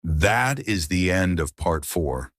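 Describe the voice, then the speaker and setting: A man's voice: low-pitched, expressive, slow pace, a bit bright, dry recording, studio quality. A man reading scripted test instructions as a formal exam announcer.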